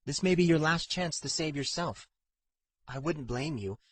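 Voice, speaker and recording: A man's voice: a little high, normal speed, dry recording, pitched above the performer's own voice, lightly acted. A man plays an earnest, burdened young woman in a fantasy audiobook. Her voice is lifted above his natural register, persistent and protective. The sound is dry. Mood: grave, pleading. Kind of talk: audiobook character dialogue.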